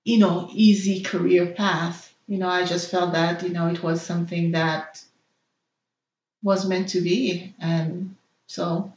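A woman's voice: on the low side, flat delivery, slow pace, darkish tone, reverberant, highs cut off. A young woman from Haiti whose first language is Haitian Creole, speaking as a nurse and interview guest, thoughtful and hesitant, with many fillers. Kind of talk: personal interview.

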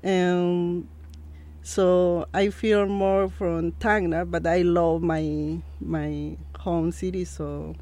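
A woman from Peru in her thirties with a Peruvian Spanish accent, a guest in an interview, speaking halting English with many fillers. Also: on the low side, level tone, warm tone. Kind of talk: interview conversation.

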